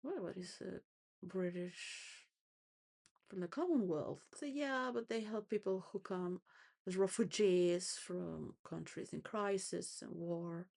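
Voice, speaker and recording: A woman's voice: fairly low pitch, slow, vocal fry, darkish tone. A woman rambling through a personal monologue, full of restarts and wandering thoughts, sometimes laughing between phrases. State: homeless.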